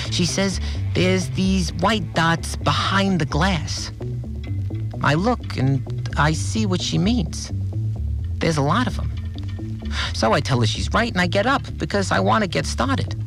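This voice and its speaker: An actor playing an anxious, obsessive cleaner who fretfully recounts events in short, plain sentences in an audio drama monologue. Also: male voice, on the high side. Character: anxious, obsessive male cleaner confined in a mysterious place. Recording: music bed under the voice.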